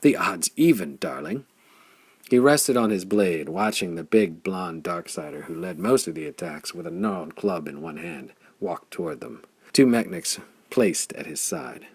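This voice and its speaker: A man narrating a pulp adventure audiobook in a measured, theatrical storytelling style. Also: deep voice, lively intonation, husky, no room sound.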